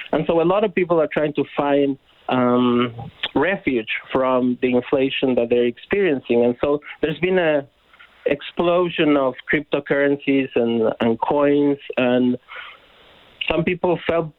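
A man from Argentina, a finance educator, explaining money slowly and carefully with many pauses, heard over a phone line. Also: fairly high pitch, dry recording. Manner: slow, measured, hesitant with fillers and restarts. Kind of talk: explaining finance on a radio call-in. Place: on a phone call into a radio studio. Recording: tinny phone line, dry.

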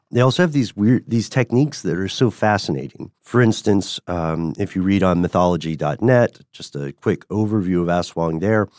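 A man co-hosting a podcast, telling a story in a casual, animated way and often checking in with "right?". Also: no room sound, studio quality. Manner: animated, rising pitch when excited, frequent "right?" check-ins. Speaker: podcast co-host.

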